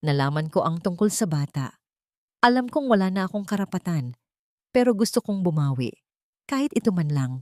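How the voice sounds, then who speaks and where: A woman acts out a remorseful man in an audiobook drama. He sounds broken and pleads in a hushed way. The recording is close and dry. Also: on the low side, very animated, brisk, long pauses, no echo. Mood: remorseful, pleading.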